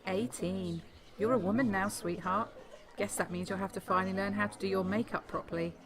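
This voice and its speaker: A woman's voice: medium pitch, steady tone, a little fast, gravelly. A woman playing a middle-aged mother who belittles her daughter in a sweetly patronising way, in a radio drama. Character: condescending, critical mother of an eighteen-year-old daughter. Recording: party chatter behind the voice.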